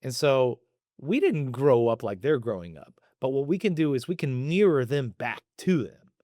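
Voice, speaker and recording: A man's voice: medium pitch, very animated, moderate pace, warm tone, no echo, studio quality. A man in his forties speaking thoughtfully and earnestly in conversation, now and then with emphasis. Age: 40s. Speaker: interviewee. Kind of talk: reflective conversation.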